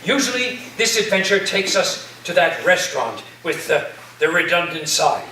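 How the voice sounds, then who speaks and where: A man's voice: sits high, very animated, normal speed, bright, forceful tone, heavily acted. A man playing an eccentric, grandiose college professor and dreamy storyteller in a theatrical staged reading, with a dramatic delivery. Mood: grandly welcoming. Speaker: actor in a staged play reading. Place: echoey room at a live staged reading. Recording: roomy, distant mic, faint noise.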